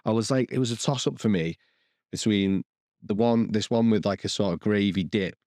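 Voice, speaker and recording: A man's voice: on the low side, normal speed, darkish tone, no echo, clean studio sound. A man co-hosting a podcast, rambling and restarting sentences as he lists the dishes he cooked. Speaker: podcast co-host. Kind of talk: casual chat.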